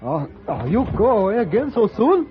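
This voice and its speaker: An actor playing an eager, deferential hotel servant who speaks halting, broken English in an old radio western. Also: male voice, high-pitched, very animated. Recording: muffled old radio broadcast.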